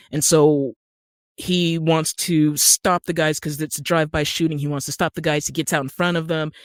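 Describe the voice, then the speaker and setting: A woman's voice: low voice, no echo, studio quality. A middle-aged American woman telling stories conversationally and emphatically as an interview guest. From Utah, United States. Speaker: podcast guest, screenwriter and actor.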